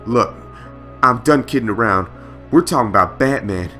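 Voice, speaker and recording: A man playing a brash, cocky but easily rattled young space superhero in an audio drama, speaking urgently and loudly. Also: medium pitch, animated. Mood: agitated. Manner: urgent, loud, quick, exclaiming. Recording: background music under the voice.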